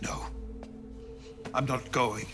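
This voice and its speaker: An actor playing a weary, guilt-ridden middle-aged Russian rocket scientist in a video game, grave and deliberate. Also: male voice, mid-range voice, fairly even intonation, over music. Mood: pleading, resigned. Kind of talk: dramatic video game cutscene acting.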